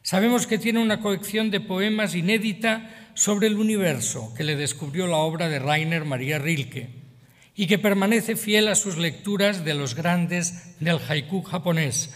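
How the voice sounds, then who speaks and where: A man's voice: high-pitched, measured pace. A man reads a formal ceremonial tribute speech as an official. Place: large indoor hall. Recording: reverberant ceremony hall.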